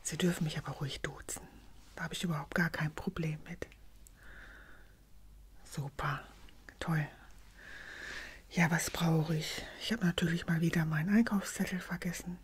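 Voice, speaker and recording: A middle-aged woman in a gentle, unhurried whispered ASMR roleplay, speaking softly and breathily close to the microphone. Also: low-pitched, fairly even intonation, breathy edge. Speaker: ASMR creator playing a customer in a drugstore roleplay.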